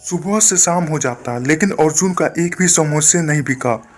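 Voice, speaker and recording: A man's voice: a little high, normal speed. A man narrating a simple moral story as a scripted storyteller.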